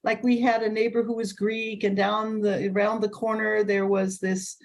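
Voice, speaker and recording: A woman's voice: mid-range voice, normal speed, gravelly. An older woman from Kansas, a linguistics professor, talking animatedly as a guest on a video call from a small room. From Kansas, USA. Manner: animated, gestures with both hands. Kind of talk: casual interview talk. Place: small room with a wooden door.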